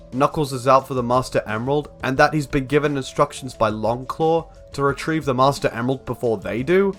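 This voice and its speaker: A young man narrates a scripted video essay in an analytical style. His mid-pitched voice moves up and down in a very animated way, with music playing behind him.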